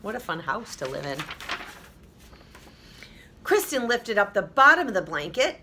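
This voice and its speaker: Animated woman reading a children's story. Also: medium pitch, a little slow, slight background noise. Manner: emphatic, interactive, addresses young listeners. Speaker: teacher reading aloud. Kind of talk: expressive children's story read-aloud.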